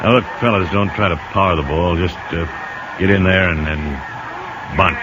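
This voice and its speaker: An actor playing a practical, reluctant baseball team boss giving hesitant instructions in a 1950s radio drama. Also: male voice, low-pitched, steady tone, moderate pace, close to an everyday voice. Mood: reluctant, instructing. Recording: noisy, muffled old radio recording.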